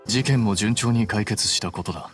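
An actor playing an aloof, rational young scholar in an anime game, speaking in a dry, curt and formal way. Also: male voice, lowish voice, normal speed, over music, natural voice. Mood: dry, indifferent. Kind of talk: anime video game voice acting.

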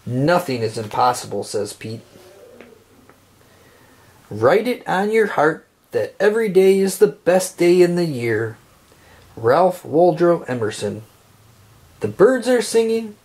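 Higher-pitched man reading aloud with lively intonation. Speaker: children's book reader. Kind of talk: read-aloud of a children's picture book.

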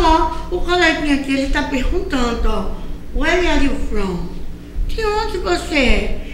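Brief: middle-aged Brazilian schoolteacher, high and unhurried, filmed in a very echoey studio with noticeable noise. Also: female voice, a bit bright. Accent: Brazilian Portuguese. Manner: slow, drawn-out explaining with frequent checks like "tá?" and "ok?". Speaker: school English teacher. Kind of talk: recorded lesson for young children. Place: school recording studio with a cartoon backdrop. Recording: very echoey room with clear background noise.

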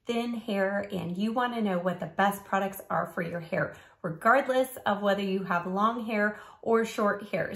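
Raspy-voiced woman reviewing hair products. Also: a little high, fairly bright tone. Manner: chatty, upbeat, instructive. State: raspy from a cold. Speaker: beauty vlogger. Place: home studio room. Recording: roomy home recording.

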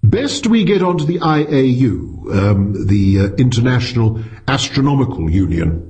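A man voicing a learned senior astronomer with a measured, formal and courteous manner, in a story reading. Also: medium pitch, very animated, a little mellow, lo-fi sound, close to an everyday voice. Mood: flustered courtesy.

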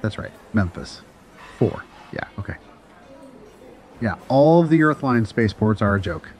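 A man's voice: lowish voice, big pitch swings, measured pace, a little mellow. A man playing a jaded, dismissive spacer chatting bluntly and offhand in an audio drama. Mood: casual. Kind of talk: casual dialogue in an audio drama.

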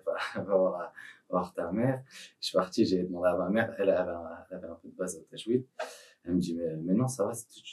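A man's voice: low-pitched, darkish tone. A young teenage boy, a podcast guest, talking hesitantly with many restarts and fillers. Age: young teen. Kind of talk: casual podcast interview. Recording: voice from a distance.

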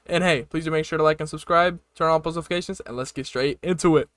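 Bright, high-pitched young man reacting. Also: fast. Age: young adult. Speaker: reaction video host. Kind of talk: casual reaction commentary. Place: small room, desk setup. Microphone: close desktop microphone.

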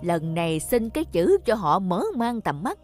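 A woman's voice: on the low side, sing-song, moderate pace. A woman voicing a vain, boastful, short-tempered rich old man in a cartoon, scolding and bragging. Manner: boastful, scolding, bursts into shouting. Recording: music behind the voice.

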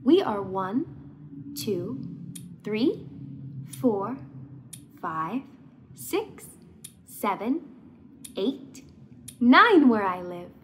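A woman's voice: medium pitch, sing-song, extremely slow, long pauses, fairly bright tone, a little hiss or hum, heavily acted. A woman voicing a sweet young immigrant girl who tells her story simply and earnestly, as in a children's storybook. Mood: earnest, friendly.

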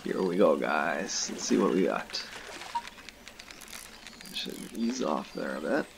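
A young man giving relaxed, casual commentary to viewers while he plays a fishing game. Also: a bass voice, slow, vocal fry, background noise. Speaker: gaming video host. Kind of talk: casual let's-play commentary.

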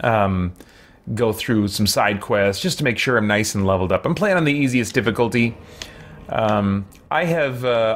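A man in his thirties giving casual livestream commentary as he plays a video game, chatting and thinking out loud in a rambling way. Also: medium pitch. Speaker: live streamer, game player. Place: small indoor room with shelves. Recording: clear game sound behind the voice. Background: video game ambience and effects.

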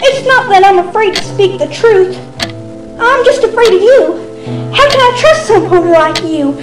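A woman voicing a young female pony filly who is scared and distrustful, answering slowly and warily in a fan audio drama reading. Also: extremely high pitch, expressive. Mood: guarded, sad. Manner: slow, quiet, wary replies. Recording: echoey reading over loud music.

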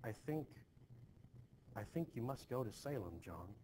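A man voicing an anxious, dutiful Puritan farm wife in a play reading, measured and quietly reproachful. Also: medium pitch, animated, lightly acted. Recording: noticeably hissy home screen recording.

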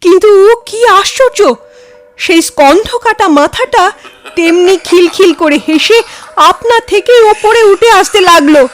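Female audio-story narrator: high voice, unhurried pace, dramatic and suspenseful delivery. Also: soft background music. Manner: expressive, suspenseful.

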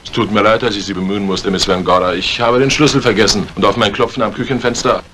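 An actor playing a polite, slightly evasive butler in a 1950s German radio mystery, with measured, formal courtesy. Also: male voice, on the low side, steady tone, fairly bright tone, lightly acted. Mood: deferential, guarded. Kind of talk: 1950s German radio crime drama acting. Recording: faintly noisy vintage radio drama recording.

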